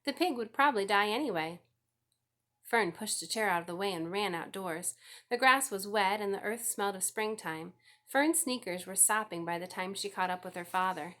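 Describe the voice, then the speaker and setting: A woman's voice: mid-range voice, normal speed, sharp tone. A woman narrating a children's book aloud as a scripted story reading. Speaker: audiobook reader.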